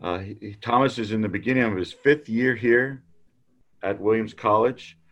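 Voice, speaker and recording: A man's voice: lowish voice, normal speed, fairly bright tone. A man hosting an interview in a casual way, friendly and a little hesitant, with many fillers. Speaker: podcast host.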